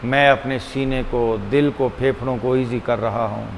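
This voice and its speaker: A man leading a guided meditation as a teacher, speaking deliberately and repetitively with frequent pauses, mixing two languages. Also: medium pitch, fairly even intonation. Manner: switches between Hindi-Urdu and English. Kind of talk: guided meditation, calm instruction. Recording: long hall reverb, faint background hum.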